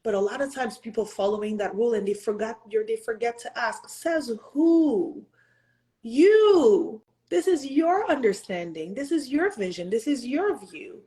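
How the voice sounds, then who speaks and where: An earnest woman in her thirties gives a motivational talk with a mellow, warm tone. She leans on emphatic rhetorical questions to push her listeners, and now and then slips into French and Haitian Creole. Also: fairly high pitch, lively intonation, unhurried. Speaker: host.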